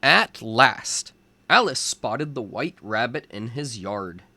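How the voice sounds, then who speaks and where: A man reading a storybook aloud as a teacher for young children, in deliberate phrases. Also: mid-range voice, lively intonation, sharp tone, no room sound.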